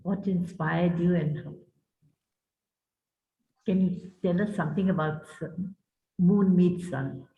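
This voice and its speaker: A woman's voice: on the low side, fairly even intonation, slow pace, long pauses. Woman moderator on a tinny line. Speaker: organizer and moderator. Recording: tinny echoey phone-like call.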